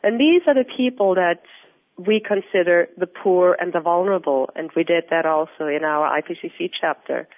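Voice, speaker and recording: A woman from Austria with an Austrian accent, a researcher answering interview questions in an earnest, explanatory, measured way. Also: on the low side. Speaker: researcher and guest. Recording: tinny phone-line audio.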